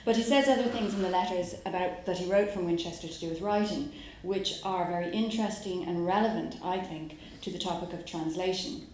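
An Irish woman from Dublin, a poet who talks her audience through her work in a musing way, pausing on fillers, then reads her poems aloud at a live event. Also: on the low side, a little mellow, a little hiss or hum. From Ireland. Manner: musing, with hesitant fillers between phrases. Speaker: poet giving a reading. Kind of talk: poetry reading with spoken introduction. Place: live reading venue. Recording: very echoey room.

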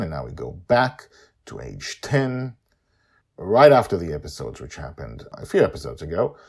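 Animated, husky low-voiced male storyteller. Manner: theatrical, emphatic delivery. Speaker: podcast host and storyteller.

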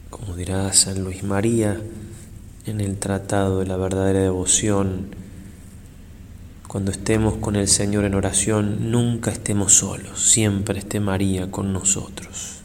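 A man, a priest, leading a guided prayer meditation in a solemn, measured, reverent way with long pauses. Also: deep voice, flat delivery, a little mellow. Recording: long hall reverb, faint background noise.

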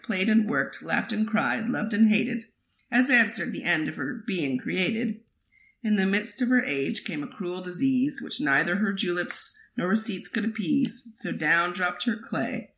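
A woman reads poetry aloud expressively, with measured, metrical phrasing, as a poet would. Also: on the low side, fairly bright tone, rough recording. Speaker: poet reading a poem aloud. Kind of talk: expressive poetry reading with brief asides.

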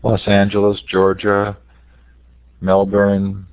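A slightly hoarse man with a lowish voice hosts an online education webinar, running through announcements in an upbeat way with plenty of fillers. The sound is dry and thin, like a web call. Nothing in the background colors it. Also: completely flat delivery, slow pace, no echo, narrow, tinny audio. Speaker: host. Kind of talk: webinar interview introduction.

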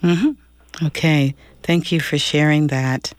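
A radio host speaks in a mid-pitched voice from a studio, where the sound is dry and close. They lead the interview chattily and hesitantly, stumbling over their words. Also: steady tone, slow pace, no echo.

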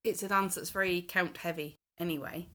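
A woman's voice: fairly low pitch, steady tone, fairly quick, sharp tone. A woman on a podcast panel, chatting casually and giving her opinions with emphasis.

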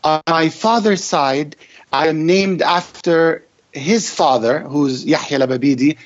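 A man, a native Arabic speaker, reading his poetry slowly and then answering interview questions in a measured, reflective way with some hesitant restarts. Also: a little high. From Egyptian-Lebanese family. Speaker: poet, interview guest. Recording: dull lo-fi audio.

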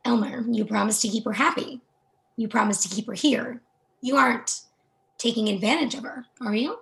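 A woman's voice: fairly high pitch, moderate pace, gravelly, low-quality recording. A woman in a play reading, playing a stern, protective and reproachful sister. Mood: accusing. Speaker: actor in a staged play reading. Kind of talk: readers theatre acting.

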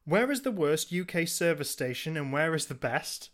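A man's voice: fairly high pitch, very animated, normal speed, a bit bright. A man hosting a podcast, chatting casually and reading out messages from listeners.

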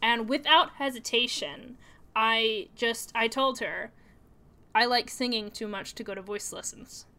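Bright-voiced young woman chatting on a podcast. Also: on the high side, measured pace. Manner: chatty, storytelling, with frequent fillers. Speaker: podcast co-host. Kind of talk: casual podcast chat.

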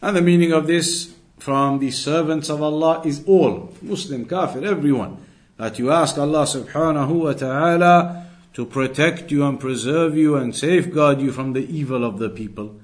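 A man's voice: on the high side, moderate pace. A man giving an Islamic lesson as a religious teacher, explaining in an instructive way and restating points for emphasis. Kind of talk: Islamic lesson, reading Arabic text and explaining it.

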